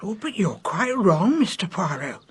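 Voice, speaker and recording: An actress in a radio drama playing a loyal, chatty British household servant, gossipy and insistent in everyday colloquial speech. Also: female voice, mid-range voice, sing-song, moderate pace, breathy edge. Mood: insistent, confiding.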